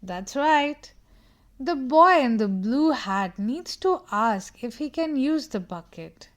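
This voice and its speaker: A woman narrates a picture book for young children. Her voice sits a little high and she takes her time, speaking very slowly. She teaches as she reads, addressing the young listener directly with questions. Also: expressive. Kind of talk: read-aloud storytelling for young children.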